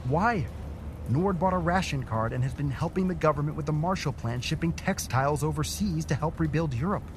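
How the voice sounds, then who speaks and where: A wary city official, played by a man argues bluntly, and his pitch swings in a lively way. He sounds skeptical and pushes back hard in an audio drama. The recording carries clear noise. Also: fairly quick, natural voice. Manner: skeptical, argumentative.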